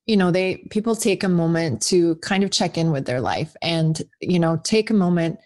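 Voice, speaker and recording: A woman in her forties talks as a guest author in a relaxed interview. Her voice sits a little low, and she rambles cheerfully, circling back with restarts and fillers. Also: normal speed. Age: 40s. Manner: chatty, rambling with many restarts and likes. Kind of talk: casual podcast interview.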